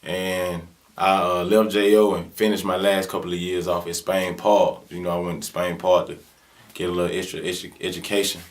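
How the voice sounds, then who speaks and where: Deep-voiced young Southern rapper. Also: male voice, little pitch movement, moderate pace. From Birmingham, Alabama. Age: 20s. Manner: laid-back slangy talk with frequent fillers. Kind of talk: casual interview answers.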